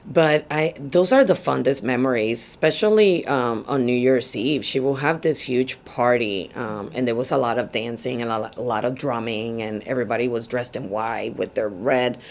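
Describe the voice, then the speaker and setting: A woman's voice: very deep voice, normal speed, few pauses. A Puerto Rican woman in her thirties, a native Spanish speaker with a Puerto Rican accent, telling her story in an oral history interview with many fillers and easy laughter. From Puerto Rico. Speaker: interviewee, social worker. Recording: faint background noise.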